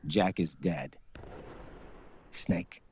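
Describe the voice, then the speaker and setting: An actor playing a mysterious, cold and detached warrior ally in a video game, speaking gravely and cryptically. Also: male voice, deep voice, drawn-out words, darkish tone, a little hiss or hum. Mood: grave, warning. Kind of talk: dramatic video game voice acting.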